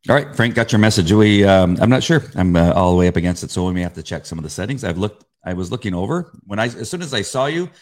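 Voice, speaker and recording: A man's voice: low-pitched, expressive, fast, few pauses, a little mellow. A man co-hosting a faith podcast live-stream in a loose, rambling chat, often stopping and restarting, on a desk microphone. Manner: rambling, stops and restarts, chuckles. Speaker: podcast co-host.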